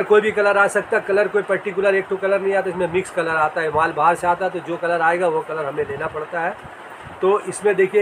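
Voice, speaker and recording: A man's voice: high-pitched, background noise. A man who runs a fishing tackle shop casually shows his products, repeating sizes and strengths plainly, filmed on a phone in the shop. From India.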